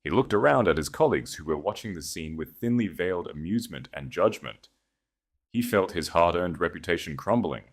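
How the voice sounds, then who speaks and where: Kalın sesli erkek hikâye anlatıcısı. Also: male voice, low-pitched, animated, brisk. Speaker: audiobook narrator. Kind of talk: dramatic scripted fiction narration.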